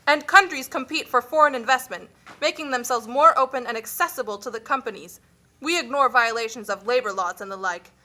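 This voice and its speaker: Casting: a woman as a formal puppet official, high bright voice, scripted explanatory delivery. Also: fairly quick. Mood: matter-of-fact.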